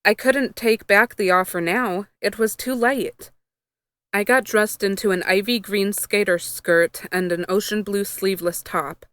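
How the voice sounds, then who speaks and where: Clear-voiced woman reading a story aloud. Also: mid-range voice, steady tone, measured pace, a bit bright, no room sound. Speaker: fan fiction reader. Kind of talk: scripted first-person story narration.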